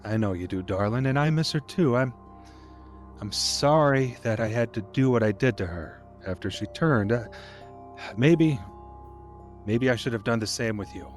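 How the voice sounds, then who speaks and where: Audio drama role: male actor as tender, halting deputy dad, guilt-ridden and protective, faint music underneath. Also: mid-range voice, animated, close to an everyday voice. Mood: guilty, sorrowful. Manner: tender, halting, occasionally stern. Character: protective, guilt-ridden father, a deputy hiding his vampire daughter. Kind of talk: dramatic audio theatre acting.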